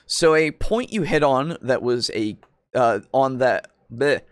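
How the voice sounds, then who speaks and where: A man's voice: mid-range voice, expressive, slow pace, no room sound. A man hosting a podcast in casual chat, with a playful, jokey manner. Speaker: podcast host. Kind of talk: casual chat, reading out comments.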